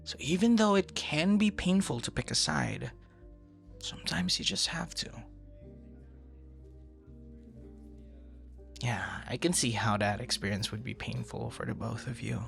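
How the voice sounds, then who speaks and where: A man performing an ASMR roleplay as an earnest, easily flustered young stranger, talking conversationally with hesitant repeats and hushed asides. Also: mid-range voice, lively intonation, gravelly, soft background music. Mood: sympathetic, reassuring. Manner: hesitant, halting, soft-spoken asides, repeats words.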